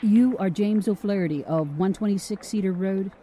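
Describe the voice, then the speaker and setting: An actor in an audio drama playing an officious, humorless police officer who speaks with formal, bureaucratic politeness. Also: male voice, high-pitched, moderate pace, dark tone, slight background noise, lightly acted. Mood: formal, firm.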